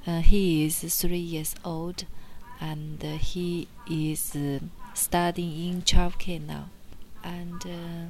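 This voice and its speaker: A woman's voice: on the low side, little pitch movement, slow pace. A woman from China, introducing herself hesitantly in English class. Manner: hesitant, repeating words. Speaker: English student.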